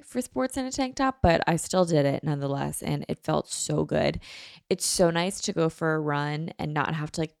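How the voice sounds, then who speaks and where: Chatty woman host with gravelly fry. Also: deep voice, no echo, clean studio sound. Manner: chatty and rambling, many likes and ums. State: a little nasal from allergies. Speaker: podcast host. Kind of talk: casual solo podcast chat.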